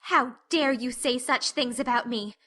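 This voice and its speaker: A woman voicing a fiery, passionate red-haired orphan girl, shouting in choked fury. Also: sits high, unhurried, voice pushed up. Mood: indignant.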